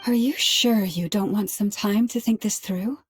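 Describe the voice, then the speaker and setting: A woman playing a gentle, protective young companion, speaking earnestly and carefully in a dramatic game cutscene. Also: mid-range voice, a little slow, soft background music, lightly acted. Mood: concerned, caring.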